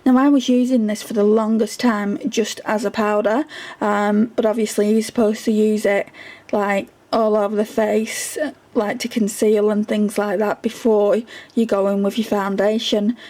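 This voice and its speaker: A woman from the UK chatting through a makeup review, her voice going hoarse, with lots of ums and doubled words for emphasis. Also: medium pitch, level tone, moderate pace, a little mellow. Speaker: beauty vlogger. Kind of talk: casual product review.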